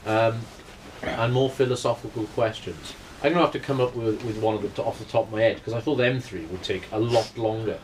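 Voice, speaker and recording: A man's voice: fairly low pitch, fairly even intonation, fairly quick, darkish tone, slight background noise, low-quality recording. A man co-hosting a casual chat, teasing and joking with his friends. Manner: jokey, teasing. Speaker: podcast co-host. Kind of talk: casual banter.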